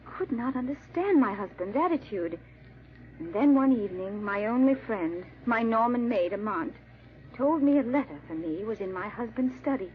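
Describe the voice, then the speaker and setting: Woman acting anxious young bride, high voice, theatrical formal diction, old radio melodrama, muffled recording. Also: expressive, moderate pace, lightly acted. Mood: wistful recollection. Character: anxious young French bride recalling her ordeal. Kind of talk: 1940s radio drama acting.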